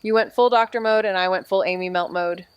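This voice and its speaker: A woman's voice: medium pitch, steady tone, fairly quick, smooth, dry recording, dull audio, close to an everyday voice. An actress playing an earnest, goofy young woman detective in a naturalistic audio drama, with casual, slangy, playful delivery. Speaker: lead actor in an audio drama. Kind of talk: naturalistic podcast drama acting.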